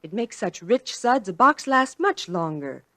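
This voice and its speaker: A woman's voice: medium pitch, very animated, measured pace, a bit bright, no echo, heavily acted. A woman playing a cheerful, confident housewife giving friendly advice in a 1940s radio commercial.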